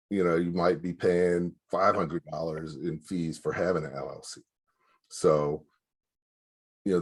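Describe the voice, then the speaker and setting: Very deep-voiced man giving casual advice. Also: darkish tone. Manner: loose and practical, many fillers. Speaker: speaker advising small business owners.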